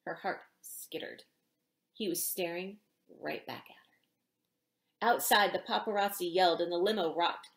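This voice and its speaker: A woman's voice: on the low side, moderate pace, long pauses. Middle-aged woman reading aloud in a roomy space. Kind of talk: expressive audiobook-style story reading. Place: small indoor room with bookshelves. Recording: roomy home echo.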